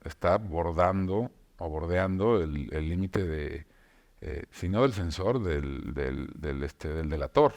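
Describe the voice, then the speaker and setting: A man, a novelist, talking reflectively about writing, with frequent pauses and restarts. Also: low voice, a little mellow. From Mexico. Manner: reflective, many filler hesitations and restarts. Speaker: novelist guest. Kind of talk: conversational talk show discussion.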